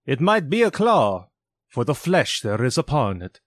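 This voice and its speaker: A man voicing a sorrowful, stern male ghost who loudly declaims warnings. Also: a little high, sing-song, slow, dry recording, studio quality, voice pushed up.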